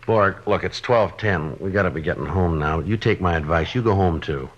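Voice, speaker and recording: Dull vintage radio recording of an American police sergeant in a radio play. His voice is very deep and husky. He is terse and deadpan, patient and level-headed, in short practical sentences. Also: normal speed. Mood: kindly, practical. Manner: laconic, deadpan. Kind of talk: 1950s radio drama acting. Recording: dull, band-limited old radio recording.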